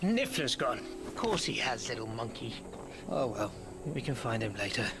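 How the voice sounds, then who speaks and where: An actor playing a gentle, awkward young British wizard who speaks politely and haltingly in a game cutscene. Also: male voice, mid-range voice, big pitch swings. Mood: distracted, polite. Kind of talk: film dialogue in a game cutscene. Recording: loud music behind film dialogue.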